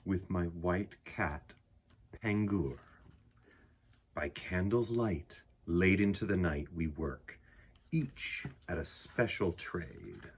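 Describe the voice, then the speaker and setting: A man reading a picture book aloud to children, gently addressing his young listeners with a husky voice. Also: deep voice, takes it slowly. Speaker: storyteller, picture book reader.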